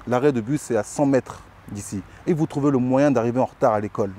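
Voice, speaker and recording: Reflective man, mellow voice. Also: medium pitch, quick pace. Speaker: interviewee. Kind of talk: personal recollection.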